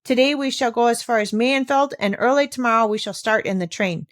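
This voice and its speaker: Female reader as impatient aunt, brisk, coaxing, bossy, storybook character. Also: a little high, dry recording, clean studio sound, voice pushed up, lightly acted. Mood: impatient, persuasive.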